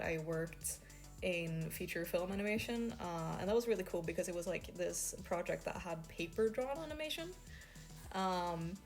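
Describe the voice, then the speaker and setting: A young woman from Sweden with a Swedish accent, a freelance artist chatting casually to camera with lots of fillers and small laughs. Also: lowish voice.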